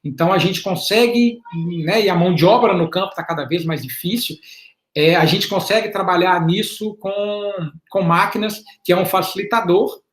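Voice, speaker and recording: A man giving a slide presentation as an invited panelist in an online talk. He speaks in a halting, explanatory way, repeats words and often checks in with a questioning "right?". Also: high-pitched. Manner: explanatory, measured. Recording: roomy echo on an online call.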